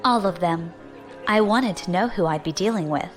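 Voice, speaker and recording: A woman's voice: fairly low pitch, moderate pace, faint music behind, close to an everyday voice. A woman playing a bold, ambitious, defiant young opportunist in an audio drama, assertive and challenging. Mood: cool, determined.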